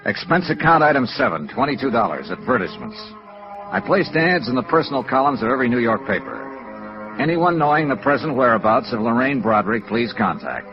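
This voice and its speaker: An American man playing a brisk, hard-boiled insurance investigator in a 1950s radio noir drama, clipped and matter-of-fact. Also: mid-range voice, expressive, lightly acted. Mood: businesslike. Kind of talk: 1950s radio noir acting and first-person narration. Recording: muffled vintage radio recording with music.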